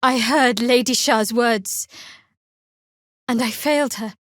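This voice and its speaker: A woman playing a guarded, sardonic young cleric of a dark goddess in a video game, speaking in a dry, measured, wry way. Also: on the high side, dry recording. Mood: solemn, emotional. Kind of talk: video game character voice acting.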